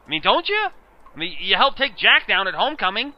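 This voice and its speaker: A man's voice: high-pitched, very animated, fairly quick, muffled recording. A man acting a nerdy, bullied teenage schoolboy in an amateur audio drama, with a theatrical, wordy, pleading manner.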